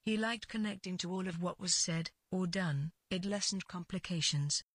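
A woman reading literary fiction as narrator, in a measured and deliberate scripted delivery. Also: lowish voice, husky, no echo, studio quality.

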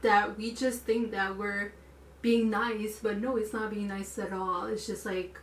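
A woman in her late twenties co-hosting a podcast, speaking in a measured way and searching for her words in casual conversation. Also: mid-range voice, little pitch movement, slight background noise. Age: late 20s. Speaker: podcast co-host. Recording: roomy room sound, distant mic.

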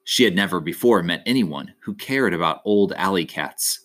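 A man reading a children's story aloud as an expressive narrator. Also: deep voice, moderate pace. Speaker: audiobook reader.

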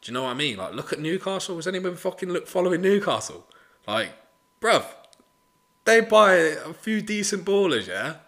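A man's voice: high-pitched, big pitch swings, normal speed, fairly bright tone. A man, hosting a casual solo podcast, blunt and motivational, swearing freely and leaning on "you know". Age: 20s. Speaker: podcast host.

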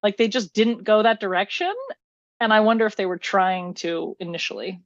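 A woman's voice: medium pitch, moderate pace, dry recording. Dry, slightly muffled, joking woman. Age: 30s. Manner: sarcastic, joking, sweary. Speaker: film podcast co-host. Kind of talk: casual banter.

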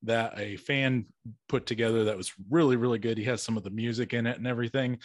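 A man's voice: medium pitch, fairly even intonation, fast talker, dry recording, clean studio sound. A man in his thirties chatting casually as an interviewer. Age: 30s. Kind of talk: casual chat.

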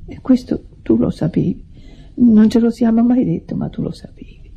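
An actress playing a devoted, lonely sister in an Italian radio drama, husky and earnest, with a hurt confessional manner. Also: female voice, on the high side, moderate pace, exaggerated voice. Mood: sad, confessional. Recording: dull vintage radio drama recording.

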